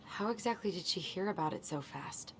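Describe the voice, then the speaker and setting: A woman's voice: on the low side, lively intonation, a little fast, slight background noise, natural voice. An actress playing a skeptical, pointed young woman, challenging and sardonic in video game dialogue. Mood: accusing.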